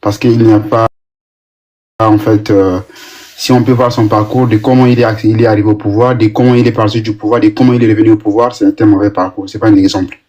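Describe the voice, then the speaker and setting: A man on a debate panel giving his view slowly and deliberately. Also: fairly low pitch, fairly even intonation, mellow. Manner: slow, deliberate, measured. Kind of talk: online debate. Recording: muffled, distant mic.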